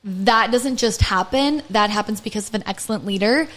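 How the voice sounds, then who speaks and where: A woman's voice: medium pitch, normal speed, a bit bright. A woman hosting a casual podcast interview, gushing and upbeat as she talks. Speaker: podcast host, spa manager.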